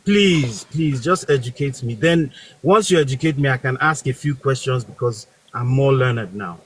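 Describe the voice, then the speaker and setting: A man's voice: medium pitch, moderate pace, a little hiss or hum. A man in a live debate, talking in a sarcastic, mock-questioning, lecturing way. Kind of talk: heated live audio debate.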